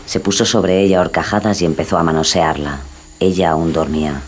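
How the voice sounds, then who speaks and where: A man's voice: sits low, fairly even intonation, fairly quick, a little hiss or hum. A man giving scripted, dramatised true-crime narration.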